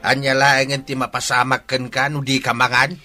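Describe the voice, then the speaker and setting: A man's voice: mid-range voice, level tone, moderate pace, bright, forceful tone, faint music behind. An actor playing a concerned, practical middle-aged father in a radio drama, speaking insistently. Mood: worried, insistent. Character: concerned, practical father of a grown pregnant daughter.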